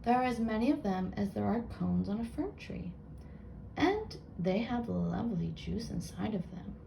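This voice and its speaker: A woman's voice: mid-range voice, lively intonation, moderate pace, creaky, dark tone, slight background noise, low-quality recording. A woman voicing a helpful, knowing little chaffinch in a storybook, explaining things. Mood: informative.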